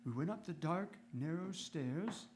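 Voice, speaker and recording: An actor playing a gentleman doctor who fondly recounts his friend's adventures as narration in a radio drama. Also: fairly high pitch, lively intonation, unhurried, a little hiss or hum. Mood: scene-setting. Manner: reminiscent, storytelling. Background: violin music.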